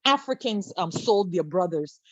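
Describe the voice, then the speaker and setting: A woman's voice: on the low side, lively intonation, normal speed, no echo, dull audio. A woman from Cameroon speaking on a video call panel, impassioned, full of fillers, restarts and rhetorical questions.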